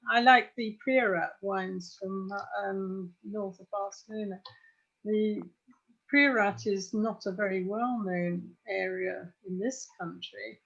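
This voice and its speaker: An older woman, chatting knowledgeably in a measured, hesitant way on a video call. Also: mid-range voice, fairly even intonation, fairly bright tone. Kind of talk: casual, knowledgeable chat on a group wine call. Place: home study, on a video call. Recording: muffled, dull video-call audio.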